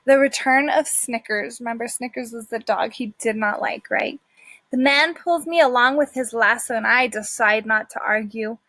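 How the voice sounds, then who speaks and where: A woman's voice: on the high side, normal speed, bright, forceful tone, dry recording. A woman teacher reading a children's story aloud expressively, with simple explanatory asides to her young listeners.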